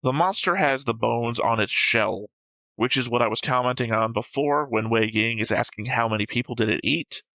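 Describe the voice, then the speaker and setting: A man's voice: mid-range voice, moderate pace, gravelly, pushed and bright, no echo, poor audio. A man chatting casually as a reaction show host, blunt and argumentative, with frequent swearing. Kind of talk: casual chat.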